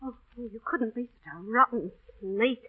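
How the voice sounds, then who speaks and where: A woman's voice: a little high, measured pace, slightly rough. An actress playing a feisty, defiant young London street girl, blunt and emotional, in old radio drama style. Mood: frightened, hurt. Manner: blunt, emotional, sharp-tongued. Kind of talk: 1930s-40s radio drama acting. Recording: muffled old radio broadcast recording.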